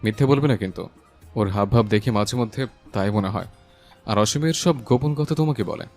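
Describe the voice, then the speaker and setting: A man acting a calm, inquisitive married older brother in an audio love story. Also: fairly low pitch, a little fast, close to an everyday voice. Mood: curious. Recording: music under the voice.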